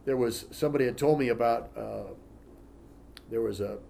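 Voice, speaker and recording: A man teaching as a pastor in a relaxed, conversational way, reading scripture aloud between informal asides and frequent "you know" fillers. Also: mid-range voice, moderate pace, vocal fry, a little hiss or hum.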